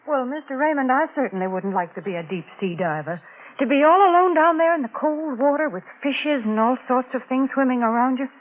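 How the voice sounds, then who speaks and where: A woman's voice: high voice, animated, fairly quick. A woman playing a cheerful, homey sponsor's spokeswoman in 1940s radio, chatty and wholesome. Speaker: commercial announcer. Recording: tinny, phone-like old radio recording.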